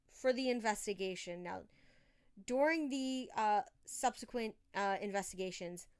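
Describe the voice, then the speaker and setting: A woman's voice: medium pitch, clean tone. A woman hosting a true crime podcast, telling the story casually with informal, emphatic asides.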